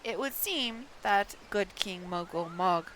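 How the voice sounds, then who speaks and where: A woman voicing a stately female elder leader who speaks gravely, with old-fashioned phrasing, as she reads a video game aloud. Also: mid-range voice, expressive, takes it slowly, a bit bright, a little hiss or hum.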